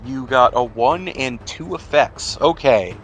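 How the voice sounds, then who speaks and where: A man's voice: medium pitch, animated, slow pace, a bit bright, slight background noise. A man acting as game master, narrating the action and rules of a tabletop game in a conversational, descriptive way. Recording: background music bed under voice. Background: music.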